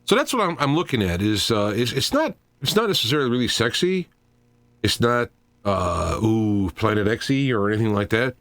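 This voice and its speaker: A middle-aged man hosting an informal solo talk radio show, speaking in a halting, digressive way as he thinks aloud. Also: mid-range voice, very animated, a bit bright, dry recording.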